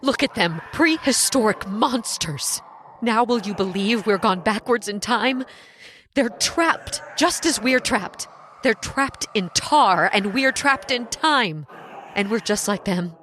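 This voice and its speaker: A woman's voice: on the high side, animated, takes it slowly, a bit bright, slight background noise. An actress playing a fatalistic, tearless woman lost in prehistoric time, grave and dramatic in old-time radio horror style. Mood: frantic, bitter.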